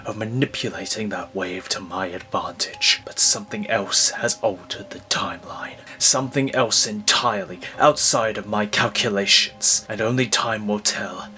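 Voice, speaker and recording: A low, hushed supervillain, put on by a man, cold and calculating. He delivers his audio drama monologue slowly and ominously, every phrase deliberate and menacing, over clear music. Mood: brooding menace.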